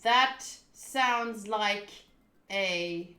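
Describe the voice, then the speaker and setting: A young woman streaming a game, talking to chat in a playful, animated, jokey way. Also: medium pitch, very slow, echoey room. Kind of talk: casual livestream reaction.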